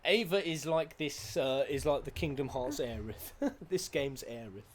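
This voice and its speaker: A man giving a casual, excitable reaction commentary on game cutscenes, slangy and sweary, as a streamer. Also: mid-range voice, a little slow, a little hiss or hum.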